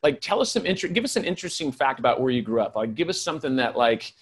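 A man's voice: mid-range voice, very animated, breathy edge. A man hosting a marketing podcast, chatting loosely and enthusiastically with his guest. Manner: fast, loose, enthusiastic with fillers. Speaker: podcast host. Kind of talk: casual interview chat.

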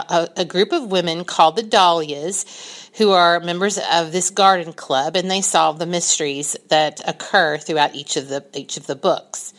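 A woman's voice: lowish voice, fairly bright tone. A woman earnestly recommending a book, with a few small restarts as she talks. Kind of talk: reading a prepared recommendation.

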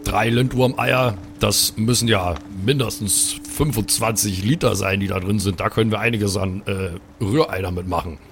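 Man, lowish gravelly voice, hesitant mock-earnest role-play, faint background noise. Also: steady tone, normal speed, hardly any pauses. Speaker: role-play podcast player. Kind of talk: improvised in-character role-play.

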